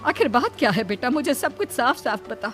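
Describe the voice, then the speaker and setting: A woman's voice: high-pitched, animated, a little fast, fairly bright tone, faint music behind, voice pushed up. A woman voicing a concerned, easily startled aunt in her sixties for a horror cartoon, anxious and motherly as she questions. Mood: shocked, concerned. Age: 60s.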